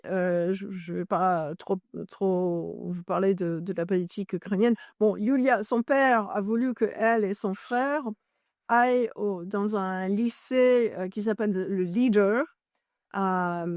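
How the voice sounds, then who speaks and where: Woman from Ireland, native English speaker, interview guest who explains at length, stops to find words, mid pitch, dry recording. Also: darkish tone, no echo. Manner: searches for French words, self-correcting as she explains. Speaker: journalist and author, interview guest.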